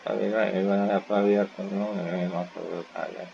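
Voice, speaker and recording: Low, gravelly male gaming streamer. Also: little pitch movement, rapid-fire, noisy background. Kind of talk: casual game playthrough commentary. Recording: slightly dull mic, game music behind.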